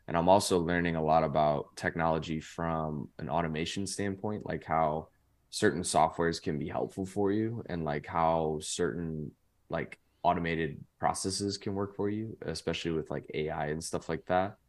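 A man's voice: low-pitched, fairly even intonation, moderate pace. A young man in his twenties, a podcast guest telling stories in a loose, rambling way full of "like" fillers. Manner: rambling, heavy use of "like" fillers. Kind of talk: casual chat.

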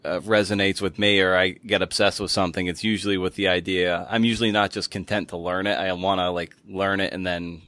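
A man hosting a casual conversational interview, phrasing his questions in a halting, rambling way. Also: sits low, level tone, fairly bright tone, no room sound. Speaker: podcast host and interviewer.

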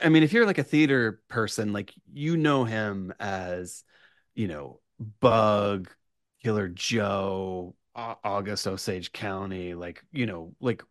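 Guy guest on a podcast, lowish voice, casual and halting, keeps restarting, really dry audio. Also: dry recording. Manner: halting, many repeated starts and 'like' asides. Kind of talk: animated casual interview chat.

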